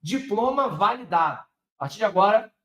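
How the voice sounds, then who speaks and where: A Brazilian man with a Brazilian Portuguese accent, teaching a live online lecture on medical exam prep in an energetic, direct way, often asking his viewers rhetorical questions. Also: high voice, moderate pace, echoey room.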